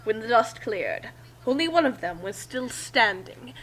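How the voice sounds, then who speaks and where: A woman's voice: a little high, very animated, unhurried, fairly bright tone, a little hiss or hum, low-quality recording. A woman reading a story aloud as amateur dramatic narration. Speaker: narrator and co-reader.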